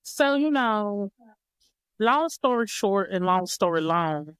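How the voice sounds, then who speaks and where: Smooth-voiced woman telling a story. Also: mid-range voice, lively intonation, takes it slowly, a bit bright, no echo. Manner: reflective, repeats and rephrases her words. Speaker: podcast guest. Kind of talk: casual storytelling chat.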